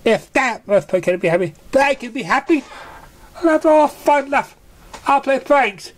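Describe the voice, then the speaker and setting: A storyteller voicing an emotional, impulsive young female winged pony in a high, squeaky, exclamatory voice for a fan story. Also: moderate pace, natural voice. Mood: defiant.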